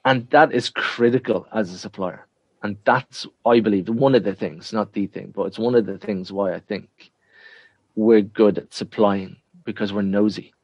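A frank Irish man talks in a lowish register, leaning hard on his points and often saying them twice. His voice is dry and close, with no room sound. He sounds like he is on a video call. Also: steady tone, normal speed, darkish tone, slightly rough, dry recording, lo-fi sound. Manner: frank, emphatic, repeats himself when making a point. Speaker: interview guest. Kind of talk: conversational interview answers.

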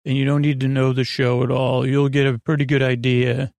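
A man's voice: medium pitch, little pitch movement, fairly quick, creaky, darkish tone, dry recording. A man hosting a bedtime podcast, talking in a meandering, hesitant, soothing way that keeps drifting into digressions. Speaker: podcast host. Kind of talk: rambling bedtime story podcast monologue.

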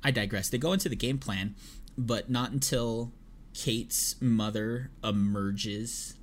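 A man's voice: lowish voice, a little hiss or hum. A man chatting as a podcast co-host, retelling at length with lots of ums and uhs. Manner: rambling retelling with many fillers. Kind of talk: casual chat.

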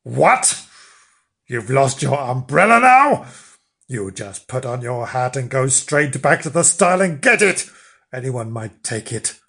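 A man voicing a bossy, impatient wife in a children's story, nagging and scolding in brisk, exasperated commands. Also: mid-range voice, a bit bright, husky.

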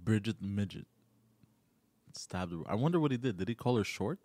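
Low-voiced guy co-hosting a podcast, stumbles over his words, dry close mic. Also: lively intonation, dark tone, dry recording. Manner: halting, stumbles and repeats words. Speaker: podcast co-host. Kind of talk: casual chat, bringing news topics.

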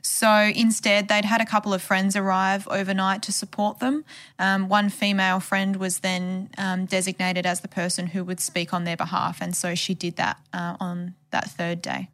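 A woman's voice: medium pitch, completely flat delivery, moderate pace, a bit bright. A woman journalist giving a conversational podcast account of a case. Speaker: journalist who covered the case.

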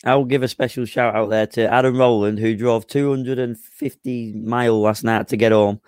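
A man with a slightly croaky voice, co-hosting a casual football podcast with brisk banter. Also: mid-range voice, steady tone, darkish tone, no echo, clean studio sound. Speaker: podcast co-host.

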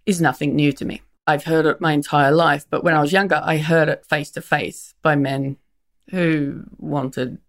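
A woman with a gravelly, creaky edge to her voice talks as an interview guest. She is frank and wry, circling back and restarting phrases as she thinks aloud. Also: sits low, steady tone, moderate pace. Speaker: comedian guest. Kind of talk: reflective interview talk.

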